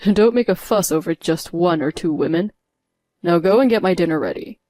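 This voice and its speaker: A woman's voice: lowish voice, animated, moderate pace, dark tone, no room sound, natural voice. A woman voicing an arrogant, dismissive husband in a narrated story, speaking in a curt, bossy manner.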